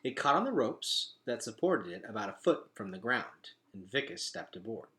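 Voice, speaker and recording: Guy doing an expressive read-aloud, bright forceful voice, really animated pitch. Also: normal speed. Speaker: storybook reader.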